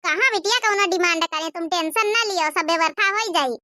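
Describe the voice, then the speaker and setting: Casting: woman playing humble village granny for cartoon comedy, Awadhi accent, extremely high bright voice, affectionate. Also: fairly quick, no room sound, clean studio sound. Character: humble, poor grandmother, affectionate and self-respecting mother-in-law.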